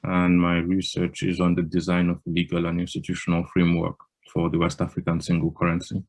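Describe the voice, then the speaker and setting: Deep, flat-voiced man from Nigeria. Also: brisk. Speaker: panelist, doctoral student in law. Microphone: video call.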